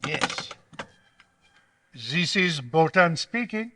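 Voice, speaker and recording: A man's voice: on the high side, big pitch swings, very slow, background noise, heavily acted. A man playing an earnest, anxious scientist in old-time radio drama, formal and precise in his wording. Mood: tense, indignant.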